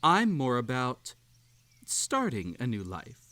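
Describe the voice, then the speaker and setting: A man's voice: mid-range voice, huge pitch swings, takes it slowly, bright, forceful tone, no room sound, full character voice. A man playing an unhinged, possessive bunny hosting a sinister tea party in a roleplay audio drama, coaxing and falsely polite. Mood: coy, coaxing. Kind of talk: scripted roleplay audio drama addressed to the listener.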